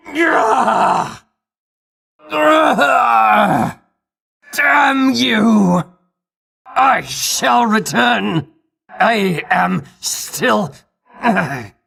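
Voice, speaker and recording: Pompous, high-pitched heroic Greek champion. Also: male voice, creaky, fairly bright tone, exaggerated voice. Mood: shocked, wounded. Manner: pompous, grandiloquent, archaic diction. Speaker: video game voice actor. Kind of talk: theatrical video game combat barks.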